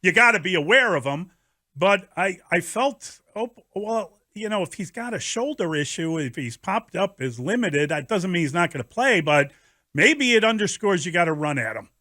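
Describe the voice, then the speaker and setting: A man's voice: high voice, lively intonation, moderate pace, sharp tone, dry recording, studio quality. A man hosting a sports radio show, talking through football in a conversational style.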